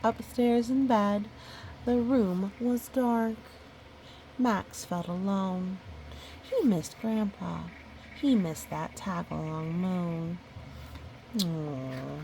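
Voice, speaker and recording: A woman reading a children's picture book aloud, gently, breaking off for musing, chatty asides to a young listener. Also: medium pitch, drawn-out words, pauses often, a little hiss or hum, rough recording. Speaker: storybook reader.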